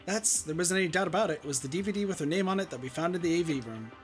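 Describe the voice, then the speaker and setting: Fast-talking, higher-voiced man reading aloud. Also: animated, faint music behind. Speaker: player reading a game aloud. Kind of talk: visual novel read-aloud with casual chat.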